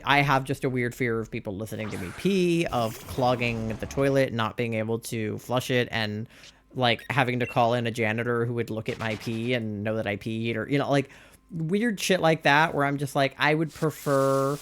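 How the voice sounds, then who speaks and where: Young guy rambling on his live stream, tons of "like"s, mid-pitched, kinda exasperated, faint hiss behind. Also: a bit bright. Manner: rambling and candid, heavy use of "like" fillers; exasperated, rhetorical questions. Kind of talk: casual chat while live-streaming a game.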